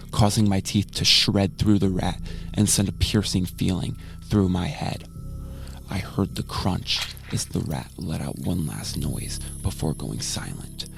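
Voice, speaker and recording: A man acts out a cold, haunted male researcher telling a horror story for a radio drama. His voice is deep and crackles with fry. The delivery is measured and brooding, like a grim first-person confession.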